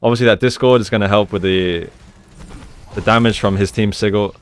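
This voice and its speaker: Guy doing casual game analysis, kinda low smooth voice, explains stuff like a coach. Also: fairly even intonation, a little fast. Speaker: esports coach and commentator. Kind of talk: casual gameplay analysis commentary.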